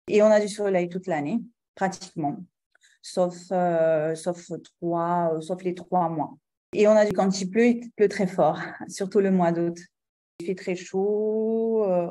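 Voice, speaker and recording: A middle-aged woman from Guinea speaking as an interview guest and mother. She talks slowly and hesitantly, with many fillers and restarts and light laughs. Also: lowish voice, mellow. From Guinea, of Lebanese descent. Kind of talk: conversational interview.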